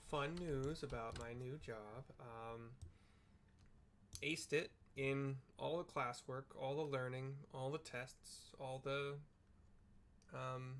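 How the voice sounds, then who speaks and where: A man talks informally while playing a game, in a medium-pitched voice. His delivery is wry and self-deprecating, like someone chatting to regular viewers. Noticeable background noise sits behind him. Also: fairly bright tone. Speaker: gaming streamer and narrator. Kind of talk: casual gameplay commentary with personal chat.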